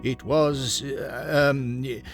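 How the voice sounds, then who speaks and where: A man voicing a flustered football fan in an audio story, defensive and halting as he stubbornly denies his feelings. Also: medium pitch, fairly even intonation, creaky, over music. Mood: flustered denial. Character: flustered male football fan, stubbornly in denial about love.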